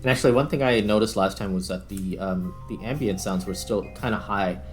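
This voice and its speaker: Low-voiced man thinking aloud over music. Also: a little fast. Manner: thinks aloud while working. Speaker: gaming video host. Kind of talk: casual gameplay commentary. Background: background music.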